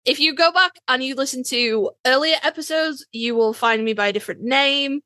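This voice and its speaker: A British woman with a bright, forward voice that sits fairly high. She co-hosts in a casual, sweary, informal way, and the sound is dry with no room on it. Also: fairly quick, no room sound. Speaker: podcast co-host. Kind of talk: casual chat.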